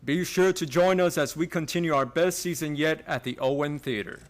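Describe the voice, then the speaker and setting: A man's voice: a little high, normal speed. A man reading a scripted, conversational advertisement for a theater season. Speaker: promo announcer.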